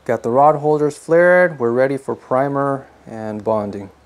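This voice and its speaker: A man's voice: mid-range voice, measured pace, slight background noise. A man giving scripted how-to narration as a DIY host, in a plain-spoken, instructional manner.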